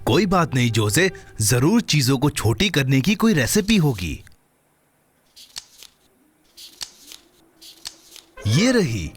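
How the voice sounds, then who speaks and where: A man voicing a friendly, helpful companion to a boy in a children's cartoon dub, with expressive delivery. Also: mid-range voice, unhurried, husky, soft background music.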